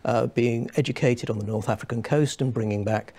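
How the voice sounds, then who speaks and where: A young man on a studio panel, explaining at length in a measured way with many restarts. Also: mid-range voice, darkish tone. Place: small studio set with armchairs.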